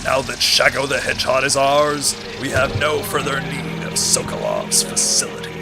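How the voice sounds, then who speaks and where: A man's voice: mid-range voice, wildly swooping pitch, moderate pace, gravelly, over music. A man voicing a bombastic, gleefully menacing Soviet colonel in a comedic parody dub, theatrical and pompous. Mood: smug menace.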